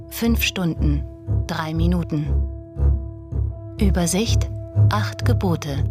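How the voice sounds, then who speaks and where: An actress playing the detached female voice of an auction website, reading clipped data formally in a radio drama. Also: lowish voice. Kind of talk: scripted announcing in a radio crime drama.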